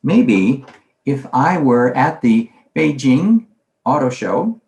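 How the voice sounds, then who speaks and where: A man's voice: mid-range voice, big pitch swings, slow, darkish tone. A man giving a recorded conference talk on language teaching, conversational, often asking himself questions aloud. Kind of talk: recorded presentation lecture. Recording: roomy echo.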